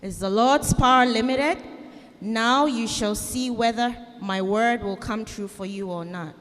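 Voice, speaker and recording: A woman's voice: a little high, unhurried, a bit bright. A woman reading scripture dramatically in church as God, a stern, commanding and angry authority. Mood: indignant. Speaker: scripture reader voicing God. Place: large church indoors. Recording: reverberant church hall.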